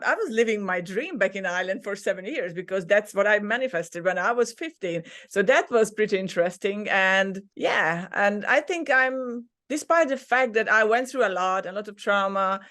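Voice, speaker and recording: A woman's voice: medium pitch, fairly bright tone. A woman from Hungary, a native Hungarian speaker, sharing her story as a podcast guest over a video call, reflective and laughing often. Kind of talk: casual interview. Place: her childhood room.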